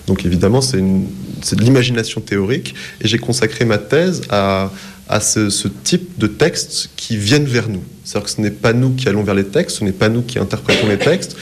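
A man from Paris in his forties, speaking in a lowish voice. He answers an interviewer reflectively, pausing and restarting as he searches for the right words. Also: darkish tone. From France, Paris. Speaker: author being interviewed.

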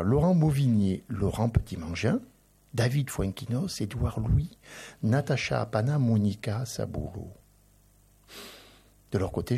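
A man's voice: medium pitch, creaky, dark tone, breathy edge. A male interviewer asking long questions and reading passages from a book aloud. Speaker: interviewer, host.

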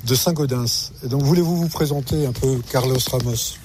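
A man reporting for radio from a tournament, introducing and interviewing a guest in a measured way. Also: mid-range voice, a little mellow, slight background noise.

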